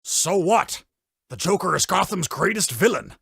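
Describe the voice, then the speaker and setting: Opinionated news pundit, male actor, lively pitch, husky edge, combative, comic dub. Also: moderate pace, no echo, clean studio sound, full character voice. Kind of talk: fan dub voice acting, debate.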